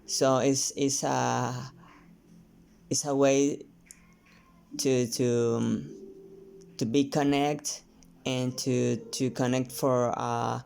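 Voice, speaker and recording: A painter from Mexico with a Mexican Spanish accent, his first language Spanish, talking casually and haltingly to camera with many restarts. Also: mid-range voice, little pitch movement, creaky, darkish tone, smooth. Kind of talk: casual vlog talk while painting.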